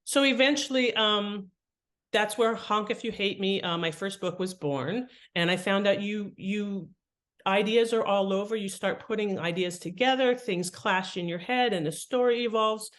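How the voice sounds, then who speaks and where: A middle-aged American woman speaking as an interview guest, conversational and reflective, often pausing to rephrase as she explains. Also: mid-range voice. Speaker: podcast guest, author and editor.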